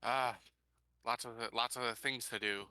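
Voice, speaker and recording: A man's voice: mid-range voice, steady tone, moderate pace, bright, forceful tone, smooth, no echo. A man improvising casually in character as a player in a tabletop roleplaying game.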